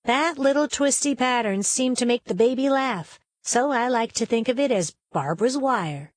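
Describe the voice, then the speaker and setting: A woman's voice: on the high side, expressive, normal speed, no echo, close to an everyday voice. A woman voicing a plucky, capable young cowgirl in a storybook, with a folksy, confident, plain-spoken manner. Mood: confident, matter-of-fact.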